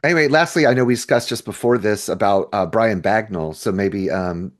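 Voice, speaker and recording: A man's voice: on the low side, a little fast. A middle-aged American man co-hosting a casual discussion, speaking informally as if thinking aloud. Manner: informal, conversational, thinking aloud while browsing listings. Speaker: podcast co-host.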